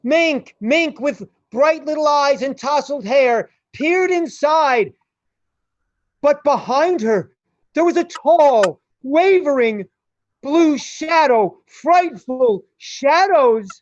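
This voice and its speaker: A woman with a high voice narrates a radio drama. She reads slowly, in short deliberate phrases. The sound is dry and somewhat dull. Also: lively intonation, a little mellow, no room sound. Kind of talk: scripted radio play narration.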